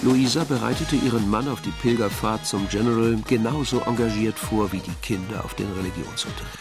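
An actor as the dryly ironic storyteller, reading scripted literary narration in a German radio play. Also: male voice, fairly low pitch, a little fast, music in the background, lightly acted. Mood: dry, measured.

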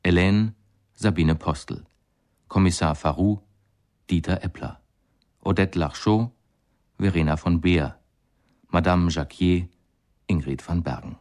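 Very deep-voiced male radio announcer. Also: a little mellow. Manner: formal, measured listing. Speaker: closing announcer. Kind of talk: scripted radio credits announcement. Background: guitar music.

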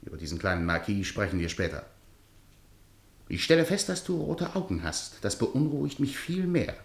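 An actor playing a strict, jealous guardian in a radio drama, stern, sarcastic and lecturing. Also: male voice, lowish voice, very animated, normal speed, gravelly, roomy sound. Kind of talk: theatrical radio drama acting.

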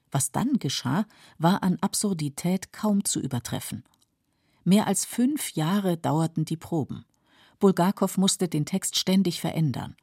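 A female documentary narrator with a deep, mellow, husky voice. She reads her script in a measured and sober way for radio, in a dry studio. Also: dry recording.

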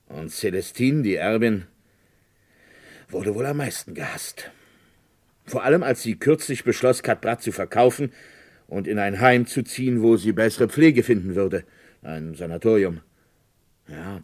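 An actor playing a village lawyer in a German radio crime drama, speaking in a formal, deliberate, hesitating and discreetly insinuating way. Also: male voice, sits low.

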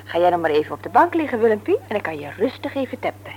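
An actress in a Dutch radio play, playing a brisk, teasing, no-nonsense nurse married to a man she likes to mock. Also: medium pitch, big pitch swings, a little mellow, natural voice. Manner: brisk, mocking, matter-of-fact. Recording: noisy old radio recording with hum.

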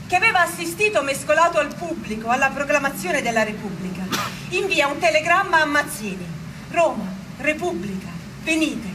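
A woman's voice: sits high, animated, normal speed, far from the mic, background noise. A woman giving solemn, oratorical dramatic narration from a script.

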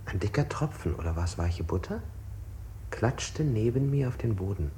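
Creaky, subdued interrogation victim. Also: male voice, on the low side, lively intonation, moderate pace, warm tone. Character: subdued interrogated man recounting his mistreatment. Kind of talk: stylized 1960s German radio play. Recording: older radio recording with faint noise.

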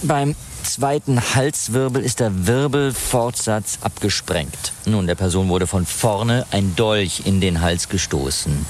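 A man's voice: lowish voice, takes it slowly. A man acting in a radio drama as a sober forensic examiner who talks in a clinical, matter-of-fact way. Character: sober, factual male forensic bone examiner. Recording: faint background noise.

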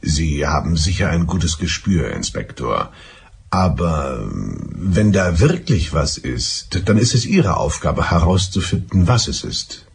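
Radio drama suspect: middle-aged husband, ex-army, low gravelly voice, hesitant and guardedly polite. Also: male voice, big pitch swings, measured pace, a little hiss or hum, heavily acted. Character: evasive male suspect husband, ex-army explosives expert. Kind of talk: German radio drama acting.

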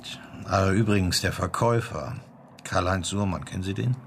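Very deep-voiced police inspector. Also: male voice, a little fast, fairly bright tone, a little hiss or hum. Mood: matter-of-fact. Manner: clipped questions, dry, matter-of-fact. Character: terse, probing male police inspector. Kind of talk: naturalistic radio crime drama.

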